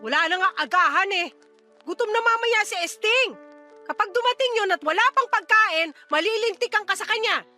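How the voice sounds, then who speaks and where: Casting: scornful nagging mother for radio drama, very high forceful voice, sarcastic and dismissive, music underneath. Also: expressive, normal speed, full character voice. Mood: scolding, irritated. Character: callous, scornful middle-aged mother siding with her lover. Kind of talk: Tagalog radio drama acting.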